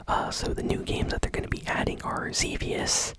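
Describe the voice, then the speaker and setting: A woman hosting gaming news in an ASMR style, talking softly, informally and without hurry. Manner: soft, unhurried, informal. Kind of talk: whispered ASMR news commentary.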